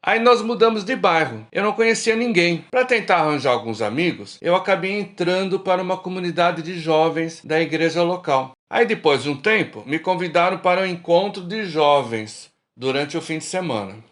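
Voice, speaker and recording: A man's voice: high voice, expressive, normal speed, few pauses, fairly bright tone. A man playing a cautious but teasing middle-aged old friend in a radio drama. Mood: confessional.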